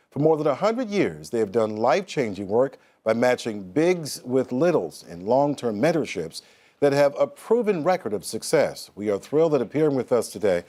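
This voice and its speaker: A man's voice: mid-range voice, a little mellow. A male presenter who reads a scripted introduction and then interviews guests in an upbeat way.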